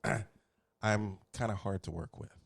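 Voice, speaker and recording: A man from Houston hosts a loose, casual podcast, laid-back and quick to laugh at his own jokes. His voice sits on the low side. It is recorded close and dry, with no room sound. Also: gravelly, dry recording. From Houston, Texas. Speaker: podcast host. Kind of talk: casual chat.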